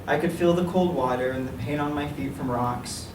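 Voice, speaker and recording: A man giving a measured, solemn reading of a literary piece before a live event. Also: medium pitch. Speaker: writer reading own work. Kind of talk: literary reading, dramatic monologue in verse. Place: public reading in a library room. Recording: echoey room, distant mic, clear noise.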